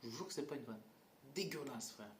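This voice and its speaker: A man's voice: mid-range voice, lively intonation, fairly quick. A man giving a casual film critique, blunt and mocking, with lots of fillers and restarts. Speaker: movie reviewer. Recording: roomy echo, faint ambient noise.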